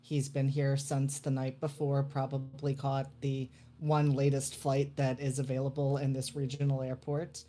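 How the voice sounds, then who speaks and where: A player introducing and describing their character in a deliberate, detailed way. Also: medium pitch, monotone, dark tone.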